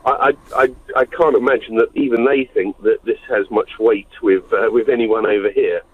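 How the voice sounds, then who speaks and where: A man's voice: fairly low pitch, steady tone, moderate pace, breathy edge, no room sound. A man calling in to a radio show, talking with hesitant, stammering starts. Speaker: caller. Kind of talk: phone-in call. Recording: phone line.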